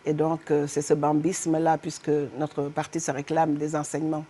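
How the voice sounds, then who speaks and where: A middle-aged woman from Senegal, a politician answering questions as a guest in a TV studio interview, animated and emphatic, with frequent hesitations. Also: low voice, normal speed, slight background noise. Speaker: guest politician, party honorary president.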